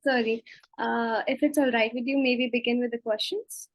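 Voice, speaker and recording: A young woman with an Indian English accent hosts the interview with polite, deferential phrasing, tripping over a word now and then. Her voice is fairly high and sounds close and dry. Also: steady tone, normal speed, no room sound. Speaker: interviewer, host.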